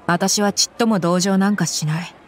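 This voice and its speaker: A woman's voice: mid-range voice, steady tone, moderate pace, close to an everyday voice. A woman playing a tough young female mercenary bodyguard in an anime game, frank and loyal, speaking plainly over music. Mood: conflicted, earnest. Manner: rough, frank speech turning polite. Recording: background music behind voice.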